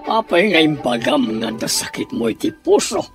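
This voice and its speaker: Dutiful, earnest Ilocano son. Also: male voice, on the high side, sing-song, moderate pace, fairly bright tone, faint music behind. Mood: concerned. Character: dutiful adult son, earnest suitor, respectful. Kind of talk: radio comedy-drama acting.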